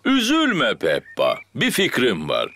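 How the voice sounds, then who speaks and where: A man's voice: medium pitch, wildly swooping pitch, slow pace, very noisy, full character voice. A man voicing a cheerful, confident daddy pig in a children's cartoon, with a jolly, encouraging manner. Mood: kindly, confident. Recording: music behind the voice.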